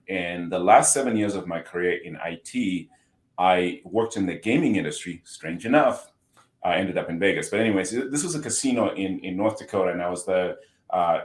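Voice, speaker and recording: A middle-aged man with a Zimbabwean accent and a very low voice, easygoing as a podcast guest. He often stumbles and repeats a word before getting going. The room gives his voice a noticeable echo. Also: normal speed. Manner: relaxed, repeats words as he restarts, chuckles easily. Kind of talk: casual podcast interview. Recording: roomy echo.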